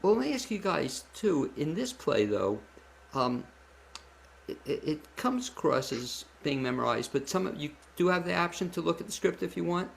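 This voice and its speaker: A man's voice: a little high, very animated, normal speed. A man hosting a casual, chatty interview, asking rambling, loosely phrased questions. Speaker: interview host. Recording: clearly noisy background.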